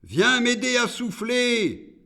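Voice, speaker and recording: Man voicing Iblis, a high-pitched, proud demon. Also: big pitch swings, slow pace, pushed and bright, heavily acted. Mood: fierce, commanding. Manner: disdainful, defiant, declamatory demands. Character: Iblis, proud rebellious male demon, monstrous forger.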